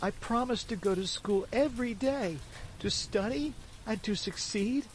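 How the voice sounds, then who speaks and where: A man voicing a fussy, hungry wooden boy puppet in a storybook reading, whining and pleading in a high, excitable voice. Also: a little slow, slight background noise, poor audio.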